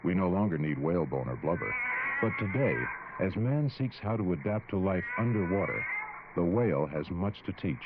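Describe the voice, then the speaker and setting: A man delivers a scripted radio announcement in an earnest, measured way. His voice is fairly low and unhurried, slightly muffled, with loud background sound behind it. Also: animated, very noisy. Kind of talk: scripted public service announcement. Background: underwater whale recordings.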